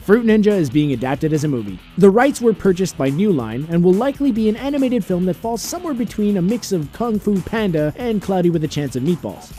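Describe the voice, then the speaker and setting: A man's voice: sits high, big pitch swings, brisk, few pauses, dark tone. A man reading a scripted entertainment countdown as its narrator. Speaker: narrator of a video game and film countdown. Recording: music bed under the voice. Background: background music.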